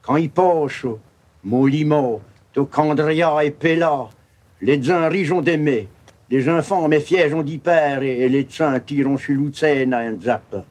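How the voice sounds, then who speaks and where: A man telling a story in the Gruyère patois of Franco-Provençal, in an archive dialect recording, speaking in a slow and measured way. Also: a little high, darkish tone.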